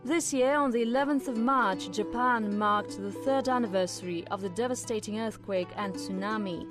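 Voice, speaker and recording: A woman reading scripted documentary narration as the narrator, with instrumental music behind her. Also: medium pitch.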